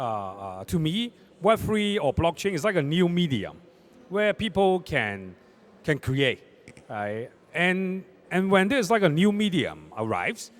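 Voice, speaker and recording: Lively man on a panel. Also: fairly high pitch. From Hong Kong. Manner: animated, tags statements with "right?". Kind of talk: panel discussion. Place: conference stage.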